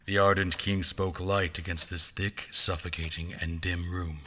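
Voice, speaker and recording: Man as deep narrator drenched in reverb. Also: little pitch movement, normal speed, pushed and bright. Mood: somber, reflective. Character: somber male narrator recalling a memory. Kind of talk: scripted dramatic narration. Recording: long cavernous reverb.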